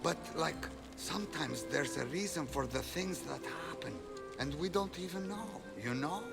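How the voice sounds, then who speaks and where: A man's voice: a little high, expressive, moderate pace, creaky, over loud music. A man playing a laid-back, rambling, cryptic character in a comic video game, drifting and noncommittal. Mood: musing.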